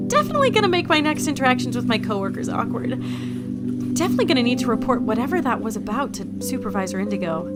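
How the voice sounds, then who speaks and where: Woman acting high, expressive, flustered young agent. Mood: rattled, musing. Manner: expressive, theatrical, stammering. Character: flustered, emotional young female agent, chatty and vulnerable. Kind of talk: audio drama acting.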